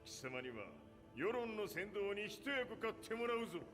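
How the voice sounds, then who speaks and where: A man's voice: high voice, big pitch swings, fast. A man playing an imperious, ruthless old warlord patriarch in a video game, speaking in an archaic, commanding manner. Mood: scornful, commanding. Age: older. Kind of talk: dramatic video game cutscene acting. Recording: long hall reverb, music underneath.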